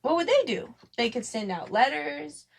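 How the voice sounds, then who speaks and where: A woman with a fairly high-pitched voice talks casually as a podcast co-host. She rambles gently, thinking aloud as she builds each idea. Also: big pitch swings, normal speed, creaky. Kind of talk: casual podcast chat about family devotions.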